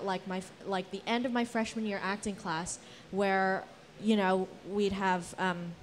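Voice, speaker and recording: A young woman with a mid-pitched voice speaks as a panelist at a live talk. She tells personal stories casually, earnest and a little long-winded, into a handheld microphone. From Philippines, Singapore and Guam. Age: young adult. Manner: earnest, rambling. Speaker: panelist, theater actor. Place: indoor event space with brick wall. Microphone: handheld event microphone.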